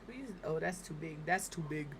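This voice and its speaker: A woman from Minnesota streaming from home, chatting casually and rambling, her voice slightly hoarse and crackly as it recovers. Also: low-pitched, animated, moderate pace. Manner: rambling, chatty, self-deprecating. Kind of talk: casual chat while gaming.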